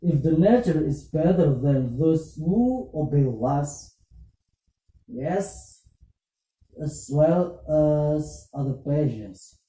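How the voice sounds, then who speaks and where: A puppet show presenter, speaking scripted religious dialogue in halting, deliberate English. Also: mid-range voice, warm tone. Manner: halting, deliberate phrasing in non-native English. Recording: roomy echo, distant muffled microphone.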